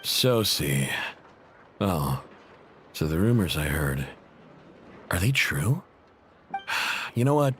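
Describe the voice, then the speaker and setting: An actor playing an obliging, conscientious and easygoing mechanic in a video game, conversational and expressive. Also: male voice, low voice, slow, pauses often, a little hiss or hum, exaggerated voice. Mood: hesitant, obliging.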